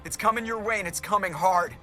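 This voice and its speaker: Sharp, urgent starship pilot. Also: male voice, high-pitched, fast talker, faint music behind. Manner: urgent, informal, punchy. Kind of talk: video game voice acting.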